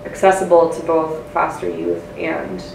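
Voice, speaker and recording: Low, creaky young woman, distant and echoey. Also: flat delivery, measured pace, a little mellow. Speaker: audience member. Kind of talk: spontaneous question from the audience. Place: lecture room audience, far from mic. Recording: distant, echoey room mic with noise.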